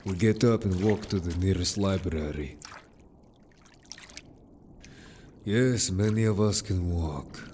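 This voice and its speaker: Guy doing a really low voiced merman, earnest protective type, audio drama, water sloshing noise behind him. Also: moderate pace, dark tone, natural voice. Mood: wry, guarded. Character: gruff, protective male merfolk, loyal patrolling adventurer. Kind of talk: audio drama roleplay addressed to listener.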